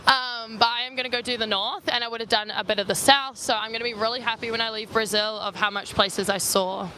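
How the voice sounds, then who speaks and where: A woman's voice: a little high, sharp tone. A woman in her twenties with a New Zealand accent, chatting casually on a phone livestream outdoors, full of "like" fillers. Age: 20s. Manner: chatty, filler-heavy with frequent "like". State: sweaty, squinting in the sun. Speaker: travel vlogger. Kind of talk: casual livestream chat answering viewer questions. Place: outdoors on a beach promenade. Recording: faint outdoor street noise. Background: faint street and traffic noise.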